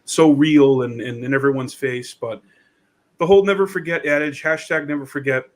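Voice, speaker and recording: A man's voice: medium pitch, normal speed. A man hosting a casual conversation show, talking informally like a guy among buddies. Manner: informal, says "dude" and "man". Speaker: podcast host.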